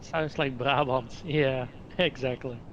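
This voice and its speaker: A man's voice: mid-range voice, fairly even intonation, takes it slowly, a bit bright, lo-fi sound, slight background noise. A man with a Dutch accent chatting casually about a game, thinking aloud with many hesitations. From Netherlands. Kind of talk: casual gaming chat.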